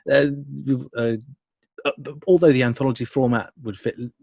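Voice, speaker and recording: A man's voice: medium pitch, fairly quick, vocal fry, a little mellow, no room sound. A man talking enthusiastically in an interview as an audio producer, wordy and often rephrasing as he goes. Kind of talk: conversational interview about creative work.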